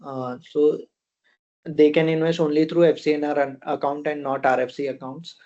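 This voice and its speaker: A man with an Indian accent giving unscripted answers as a company officer on a conference call, phrasing things haltingly. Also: fairly high pitch, little pitch movement, a little mellow. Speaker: fund company officer answering investor questions. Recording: dull, lo-fi conference call audio.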